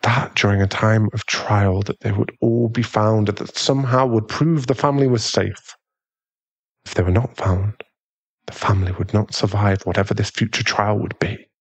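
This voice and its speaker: A man acts out an aristocratic British werewolf fiancé for an ASMR audio drama. The voice is a fairly low male one, affectionate and polite, with a gentle, unhurried delivery. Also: slightly rough, low-quality recording. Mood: fond, explaining. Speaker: ASMR boyfriend voice actor. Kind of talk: ASMR boyfriend audio roleplay.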